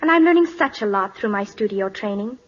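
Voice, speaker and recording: A woman's voice: mid-range voice, lively intonation, a little fast. A young woman in her late teens as a radio guest, eager and polite in a scripted 1940s interview. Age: teens, seventeen. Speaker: guest starlet. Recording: muffled old-time radio recording.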